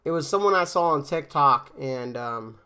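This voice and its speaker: A man's voice: fairly high pitch, expressive, moderate pace, sharp tone, smooth. A man casually chatting with viewers on a game stream, rambling with fillers and restarts, a bit congested from a cold. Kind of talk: casual live-stream chat.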